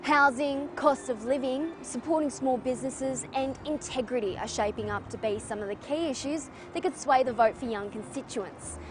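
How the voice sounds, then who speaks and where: Reporter voice-over: woman, high pitch, scripted narration over light music. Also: normal speed, few pauses. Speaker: news reporter.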